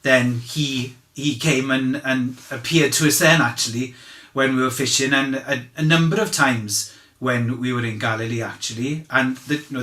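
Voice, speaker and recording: A man playing an earnest disciple testifying as an eyewitness at a dramatized inquest, speaking conversationally and haltingly as he searches for words. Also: mid-range voice, sharp tone. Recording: echoey room.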